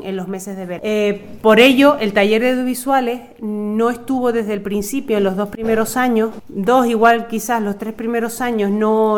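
A woman from Tenerife, a summer camp coordinator, answering an interview in a halting, searching way. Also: mid-range voice. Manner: hesitant, searching for words.

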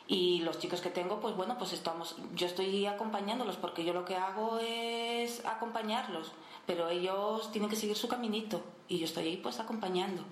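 A woman, a caregiver answering radio interview questions in a warm, halting way with many restarts. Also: mid-range voice, slight background noise. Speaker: interviewee, caregiver in a children's home. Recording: echoey room.

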